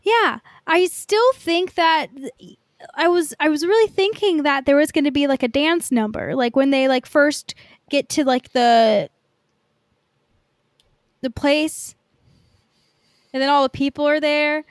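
High-pitched young woman, rambling casually. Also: measured pace, long pauses, no room sound, studio quality. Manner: rambling, peppered with "like". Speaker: podcast co-host. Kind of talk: casual film review chat.

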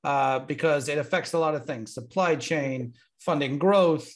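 A man's voice: fairly high pitch, a little slow. A man hosting a podcast, upbeat and enthusiastic, introducing and questioning a guest. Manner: upbeat, enthusiastic, frequent ums. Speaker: podcast host. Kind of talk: casual interview hosting.

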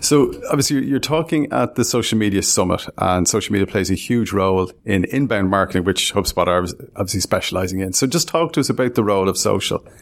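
A man's voice: on the low side, fast talker, few pauses. A man hosting a podcast, reading out promotional intros and then chatting with a guest. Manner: promotional, list-reading, conversational. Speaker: podcast host.

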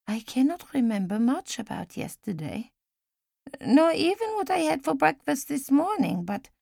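A woman voicing a frail elderly woman who speaks formally and with gentle insistence, in an audiobook story. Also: on the high side, vocal fry, no echo, clean studio sound, full character voice. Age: older. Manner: measured, formal, gently insistent speech with few contractions.

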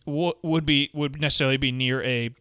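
A man's voice: mid-range voice, fairly even intonation, a little fast, fairly bright tone, clear, resonant tone, no echo, clean studio sound. A man co-hosting a casual film podcast, rambling with many restarts and trailing-off sentences. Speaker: podcast co-host.

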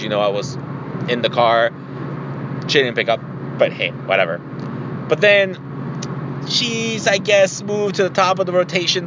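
A man of thirty-plus with a mid-pitched voice rambles through personal anecdotes like a solo podcaster. His talk is conversational and loose, with some casual swearing. The sound is dull and lo-fi, with clear car and road noise behind him. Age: 30s or older. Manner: rambling, conversational, mildly profane. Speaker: podcast host. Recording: muffled lo-fi audio, loud car noise. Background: loud vehicle and road noise.

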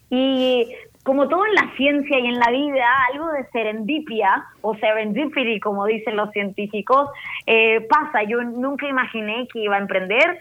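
A woman from northern Chile with a Chilean accent, a startup founder telling her story in a podcast interview, animated and slipping in English terms. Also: on the high side, phone-quality sound.

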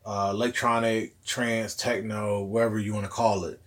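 Hoarse laid-back man hosting a podcast. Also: lowish voice, level tone, normal speed. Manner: laid-back, rambling delivery. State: hoarse, recovering voice. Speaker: podcast host. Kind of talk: casual solo podcast monologue.

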